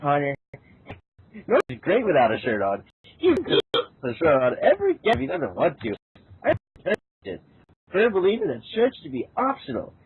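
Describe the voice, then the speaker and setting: A man with a husky voice and hugely swinging pitch reads a character part in a casual amateur session. The sound is muffled like a phone line. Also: wildly swooping pitch, normal speed, pauses often.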